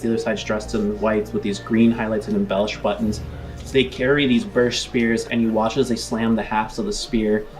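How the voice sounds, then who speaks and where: A man narrating a tabletop roleplaying game as game master, improvising scene descriptions with music underneath. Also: medium pitch.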